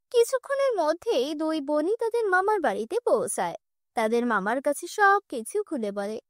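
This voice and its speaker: A woman's voice: sits high, a bit bright, dry recording, clean studio sound. A woman narrating a scripted children's story as its storyteller.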